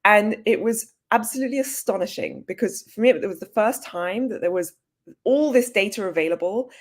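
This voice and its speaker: A woman in her thirties from Switzerland, a native German speaker with a British accent, telling her story conversationally with wry self-deprecation. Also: medium pitch. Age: 30s. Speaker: journalist and author, interview guest.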